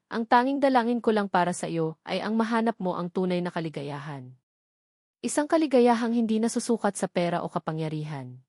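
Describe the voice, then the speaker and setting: A woman's voice: medium pitch, a little mellow, dry recording, studio quality, lightly acted. A woman voicing a loving mother's farewell letter to her son, tender and earnest.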